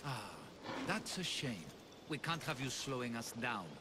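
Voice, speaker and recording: An actor playing a callous, greedy mercenary bandit in a video game, talking with mock regret. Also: male voice, medium pitch, lively intonation, a little slow, natural voice. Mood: coldly mocking. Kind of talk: video game background dialogue. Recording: game dialogue amid outdoor ambience noise.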